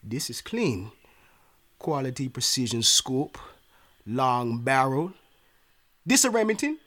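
An actor playing a crude, gleeful, trigger-happy biker thug with a brash, slangy delivery. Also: male voice, mid-range voice, big pitch swings, slow, a bit bright, exaggerated voice. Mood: eager.

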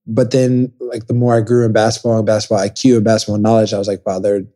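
A man's voice: fairly low pitch, level tone, fast talker, warm tone. A young man from Texas, a podcast guest chatting casually and telling rambling stories with acted-out quotes. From Dallas, Texas. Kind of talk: casual chat.

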